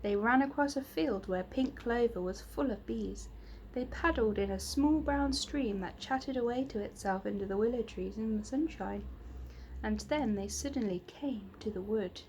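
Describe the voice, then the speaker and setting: A woman reading a children's story aloud in an expressive storytelling manner. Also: a little high, moderate pace, a little hiss or hum, rough recording. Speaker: reader of children's stories. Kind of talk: expressive scripted storybook narration.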